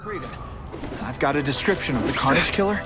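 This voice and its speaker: A man's voice: fairly high pitch, huge pitch swings, quick pace, over loud music. An actor playing a young, earnest male masked superhero in a video game, speaking plainly and asking direct questions. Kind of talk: video game cutscene acting.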